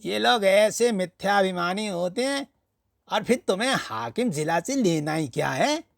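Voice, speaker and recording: A man's voice: high-pitched, animated, unhurried, gravelly, dry recording, studio quality, pitched above the performer's own voice, full character voice. A man voicing an indignant friend in a storybook reading, giving opinionated advice in an emphatic, mocking manner. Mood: warning.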